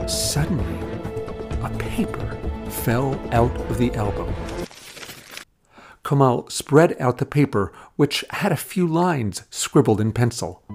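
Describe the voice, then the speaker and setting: A man narrating an audio drama as a scripted, third-person story. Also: mid-range voice.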